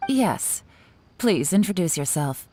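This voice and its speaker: Low, mellow, shrewd commander. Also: female voice, sing-song, takes it slowly, a little hiss or hum. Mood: coolly confident. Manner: composed, businesslike, sly. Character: poised, shrewd adult woman in command, cool and teasing. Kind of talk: video game voice acting.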